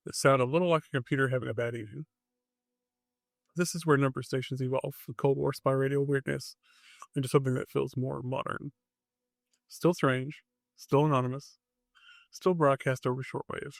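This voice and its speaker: A man's voice: medium pitch, creaky, dry recording, clean studio sound. A man hosting a podcast, reading scripted narration in short dramatic phrases with wry asides. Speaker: podcast host.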